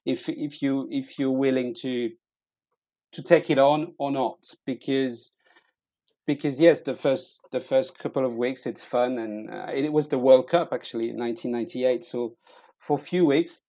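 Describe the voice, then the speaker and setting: A middle-aged man with a French accent telling personal stories in casual conversation, haltingly, often repeating words as he searches for them. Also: mid-range voice, fairly even intonation, warm tone, no room sound. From eastern France. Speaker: interview guest.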